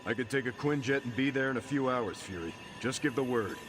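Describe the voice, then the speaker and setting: An actor playing an earnest, resolute soldier hero in a video game cutscene, speaking directly and dutifully. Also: male voice, medium pitch, brisk, noisy background, lightly acted. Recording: prominent music behind the voice.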